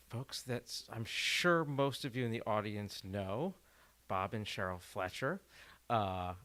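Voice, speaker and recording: A man's voice: medium pitch, fairly bright tone. Guy hosting a local meeting, chatty intro, mid voice. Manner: chatty, tells a light personal story. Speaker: meeting moderator. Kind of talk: introducing speakers at a public meeting.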